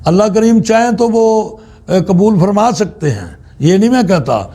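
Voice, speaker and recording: A man giving an unscripted religious teaching talk, halting and deliberate, repeating phrases as he explains. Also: sits high, lively intonation, mellow. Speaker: religious teacher, Islamic preacher.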